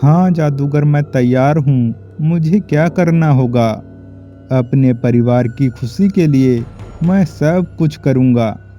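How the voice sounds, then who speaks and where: A man playing a poor, timid farmer and devoted family man in an animated story, speaking earnestly. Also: medium pitch, takes it slowly, dull audio, soft background music, close to an everyday voice. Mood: earnest, surprised. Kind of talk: Hindi animated moral story acting.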